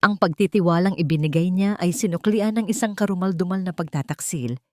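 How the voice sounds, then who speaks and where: Close dry Filipino woman storyteller, lowish voice. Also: a little fast, no echo. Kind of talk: scripted dramatic story narration.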